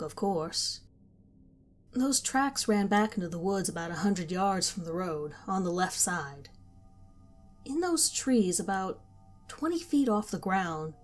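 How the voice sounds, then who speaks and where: A woman narrating a first-person horror story in a calm, measured storytelling manner. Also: medium pitch. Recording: faint background music.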